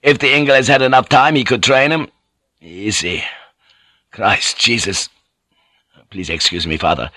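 Man doing an anguished old-world sea pilot, loud and pushing, bright edgy tone. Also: lowish voice, a little slow, long pauses, dry recording, studio quality. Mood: blunt. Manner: impassioned, confessional. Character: tormented Portuguese ship's pilot, rough, guilty. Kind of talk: audiobook character voice.

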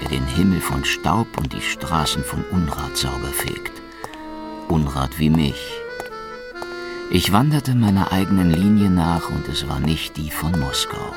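Gravelly, very deep-voiced cynical secret policeman. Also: exaggerated voice. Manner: sardonic, dry. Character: cynical, world-weary male secret police investigator in 1930s Russia. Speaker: actor and narrator in a radio play. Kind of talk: noir radio drama, hard-boiled first-person narration.

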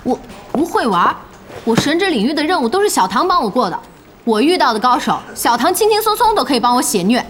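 An actress playing a brash, excitable, sharp-tongued young woman boss in an audio drama. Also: high-pitched, quick pace, a little hiss or hum. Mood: indignant, boastful. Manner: animated exclaiming, teasing, blunt.

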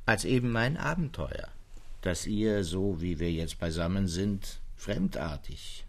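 An actor playing a brooding, fervent literary storyteller in a radio drama, speaking deliberately in old-fashioned literary phrasing. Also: male voice, low-pitched, animated, vocal fry, heavily acted. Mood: brooding, reluctant.

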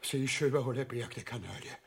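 Actor as a gravelly, coarse henchman. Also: medium pitch, fast talker, natural voice. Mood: furious. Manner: crude, slangy, blustering. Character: coarse, cowardly, blustering male henchman. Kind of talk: comic stage-parody radio drama.

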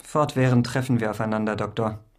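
An actor playing a brisk, probing police investigator with a formal, terse manner in a historical radio crime drama. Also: male voice, fairly low pitch, steady tone, lightly acted.

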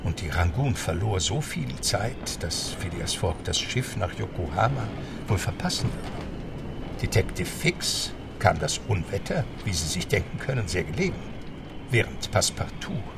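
A man's voice: low voice, very animated, unhurried, vocal fry, very noisy. A man narrating a radio play in a scripted storytelling style. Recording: music behind the narration.

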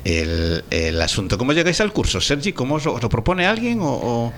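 A man's voice: mid-range voice, sing-song, brisk, fairly bright tone, a little hiss or hum. A man with a Spanish accent from Spain hosts a casual, bantering conversation. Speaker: podcast host.

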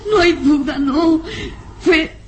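An actress playing a fragile, frightened young woman in a melodramatic radio serial, halting and anxious but insistent. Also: high voice, fairly even intonation. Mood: tearful dread. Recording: noisy vintage radio drama recording.

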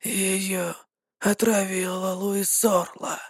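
Woman voicing a sly soft-spoken male warlock. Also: mid-range voice, drawn-out words, no echo, clean studio sound, exaggerated voice. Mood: calm. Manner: smooth, calm and mocking.

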